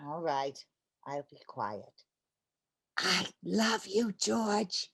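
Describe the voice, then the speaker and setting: A woman's voice: on the low side, expressive, very slow, creaky, a bit bright, breathy edge, full character voice. A woman playing a playful, mushy housewife in an old-time radio comedy, with a coaxing, theatrical delivery. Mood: gushy, teasing.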